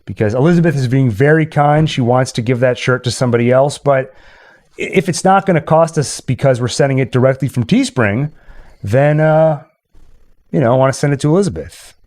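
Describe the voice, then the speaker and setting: A man's voice: medium pitch, expressive, fairly quick, a little mellow. A man hosting a livestream, chatting casually with his viewers. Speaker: livestream host.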